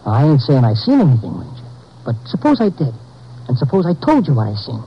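An actor playing a nervous, pleading small-time American convict, stammering and halting, in 1950s radio drama style. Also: male voice, mid-range voice, huge pitch swings, a little hiss or hum. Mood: anxious, pleading. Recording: muffled old 1950s radio recording.

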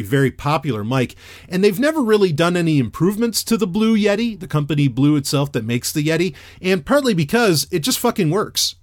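A man with a loud, radio-trained voice, chatting casually as a podcaster reviewing a microphone. He rambles with many fillers and restarts. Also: on the high side, dry recording, studio quality.

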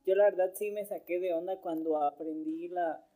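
A man's voice: high-pitched, fairly even intonation, normal speed, warm tone. A man chatting on a talk show, asking curious questions with hesitant restarts. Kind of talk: casual talk-show chat.

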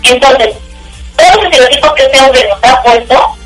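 A woman's voice: sits high, moderate pace. A woman from Mexico with a Mexican accent, giving her opinions emphatically in a casual discussion as an interview guest. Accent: Mexican Spanish. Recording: roomy, distant phone-like call with music.

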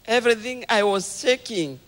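A woman's voice: a little high, animated, moderate pace. A woman, a congregant, giving a short spoken testimony at a church healing service. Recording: echoey church hall.

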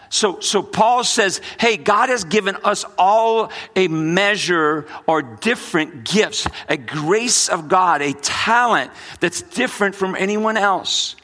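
A man's voice: a little high, pushed and bright. A middle-aged man pastor with a mixed New Orleans and Brooklyn accent, preaching earnestly with frequent repeats and restarts. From United States. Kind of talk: sermon.

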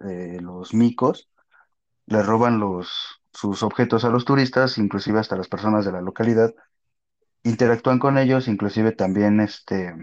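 A man's voice: on the low side, a little mellow. A man, a podcast co-host, explaining matter-of-factly in casual chat. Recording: dull lo-fi sound.

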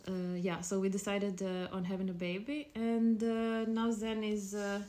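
A woman's voice: medium pitch, little pitch movement, a little slow, mellow. A woman in her thirties from Croatia, a podcast guest speaking in a casual, hesitant way with many fillers and restarts. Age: 30s. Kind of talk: casual interview chat.

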